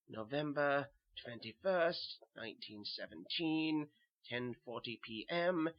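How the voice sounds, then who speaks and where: A man's voice: medium pitch, lo-fi sound, lightly acted. A man playing a weary, haunted German soldier who narrates a horror tale in simple short sentences, with a German accent. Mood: weary, fearful. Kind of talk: first-person horror audio narration.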